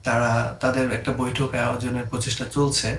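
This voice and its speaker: Man, Bangladeshi accent, informal explainer, English terms mixed in, little pitch variation, faint background noise. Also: flat delivery, quick pace, fairly bright tone, reverberant. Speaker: presenter explaining diplomatic terms.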